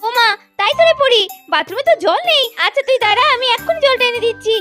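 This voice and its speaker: Doll story role: storyteller as caring, flustered young aunt, high swooping voice, hurried reassurance. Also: lively intonation, sharp tone, full character voice. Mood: reassuring. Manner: fussing, repeats words, reassuring. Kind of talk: children's doll story play-acting. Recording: children's music behind the voice.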